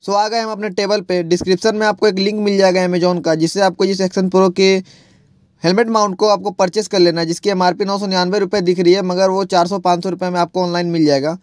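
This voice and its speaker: A high-pitched man talks through a casual vlog tutorial, explaining each step in a chatty way. His voice is close and dry, caught by an earphone mic tucked inside his helmet. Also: little pitch movement, fast, dry recording. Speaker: moto vlogger. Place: riding a motorcycle, then at a shop table.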